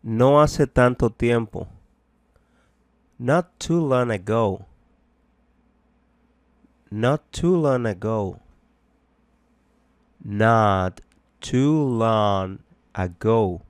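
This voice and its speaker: A man with a fairly low voice gives a bilingual language lesson. He speaks very slowly and deliberately, translating and repeating each phrase. The sound is dry, with little room around it. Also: no room sound. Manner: deliberate, repetitive, explanatory. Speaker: English teacher.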